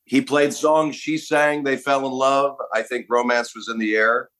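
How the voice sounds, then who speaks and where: A middle-aged man from Los Angeles, a guest on a podcast, telling stories in a relaxed, casual way. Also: medium pitch, measured pace. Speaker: guest actor. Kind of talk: casual interview chat.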